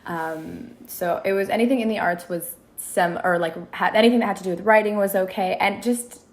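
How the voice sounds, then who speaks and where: A woman's voice: medium pitch, fairly even intonation, brisk. A woman in her twenties from Minneapolis, a content creator, chatting casually as a podcast guest with lots of restarts. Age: 20s. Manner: chatty, many restarts and fillers. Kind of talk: casual interview chat.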